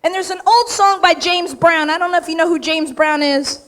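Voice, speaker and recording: Emphatic woman at an awards podium, high and a touch raspy, direct and punchy. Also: moderate pace, fairly bright tone. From United States. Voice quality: slightly raspy. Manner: straight-talking, emphatic, punchy short phrases. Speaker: award recipient giving an acceptance speech. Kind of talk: acceptance speech to a live audience. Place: large event room with audience.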